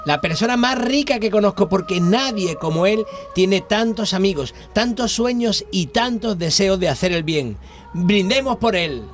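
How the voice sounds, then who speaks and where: A man's voice: high-pitched, lively intonation, moderate pace, faint music behind. A man playing an affectionate, teasing brother in a radio play, speaking in a declamatory way. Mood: celebratory. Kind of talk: Christmas radio play acting.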